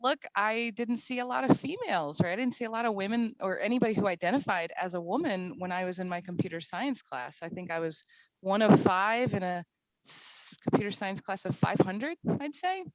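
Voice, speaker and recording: A woman's voice: mid-range voice, expressive, a little fast, no room sound, low-quality recording. A woman in her forties from Morocco, speaking on a discussion panel in a chatty way, with frequent laughs and fillers. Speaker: panelist, CISO.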